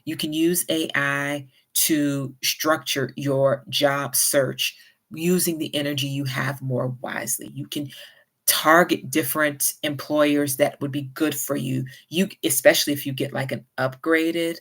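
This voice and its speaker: A woman with a light Southern US accent, talking at an unhurried pace and giving friendly, encouraging advice to her viewers. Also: deep voice, steady tone. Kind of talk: casual advice vlog.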